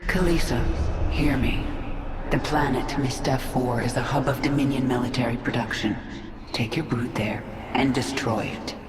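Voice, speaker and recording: A woman's voice: a bass voice, moderate pace, echoey room, noisy background. A woman playing a determined, commanding alien warrior queen in a video game, speaking in terse lines. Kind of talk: video game cutscene acting.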